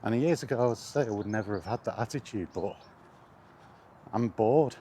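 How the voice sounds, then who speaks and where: Indignant ranting man, low voice. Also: normal speed, warm tone, slight background noise. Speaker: gaming vlogger. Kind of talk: casual spoken rant.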